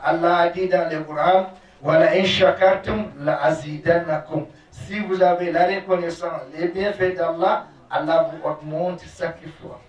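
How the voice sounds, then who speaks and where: A preacher with Arabic as his first language, reciting prayers in Arabic at speed and then explaining them slowly in halting French at a gathering. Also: on the high side. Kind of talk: sermon. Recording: roomy, distant, dull sound.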